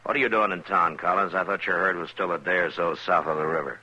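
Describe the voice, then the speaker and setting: An actor playing a steady, weary, authoritative Old West marshal, American, terse and dry, in 1950s radio drama style. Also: male voice, low-pitched, fast, husky, highs cut off, exaggerated voice. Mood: firm. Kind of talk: 1950s radio western acting. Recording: noisy old radio recording.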